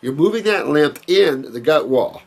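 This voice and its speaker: A man's voice: on the high side, animated, normal speed, creaky, slightly rough. An older man hosting a health Q&A, reading viewer questions and answering them in a rambling way, with many fillers and repeated words.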